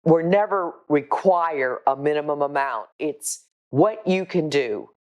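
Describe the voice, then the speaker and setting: An older woman with a low voice, a shelter volunteer speaking earnestly and firmly about her work in casual talk to camera. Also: lively intonation. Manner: earnest, deliberate, firm. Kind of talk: casual spoken account to camera, showing photos.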